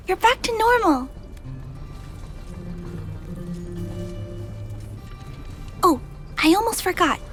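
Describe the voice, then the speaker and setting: A very high, girlish game voice for a sweet little girl who tinkers with robots. She speaks slowly and politely, earnest and a little hesitant. Music plays faintly behind her. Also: exaggerated voice. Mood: gentle, curious. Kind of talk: video game voice acting.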